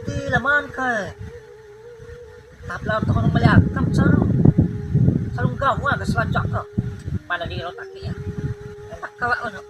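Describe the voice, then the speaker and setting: A man with a strikingly high voice trades teasing banter in casual vlog chat. His tone is playful throughout. Clear background noise sits under the recording. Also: big pitch swings, normal speed, long pauses. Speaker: channel co-host.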